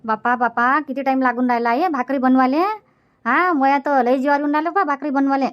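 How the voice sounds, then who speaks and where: Woman as a fast, high-pitched whining village sister. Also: steady tone, lo-fi sound, lightly acted. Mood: whiny, grumbling. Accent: Varhadi Marathi from Vidarbha. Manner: whiny, grumbling to herself. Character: whining young village sister sweating over a cooking fire. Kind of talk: dubbed cartoon comedy monologue.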